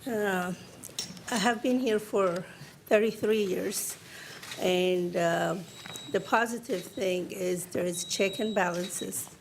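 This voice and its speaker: A woman from Africa and the Middle East speaks as an on a discussion panel. She talks very slowly, in halting phrases with many fillers, at a middling pitch. A faint noise runs underneath. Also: creaky, low-quality recording. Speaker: panel guest.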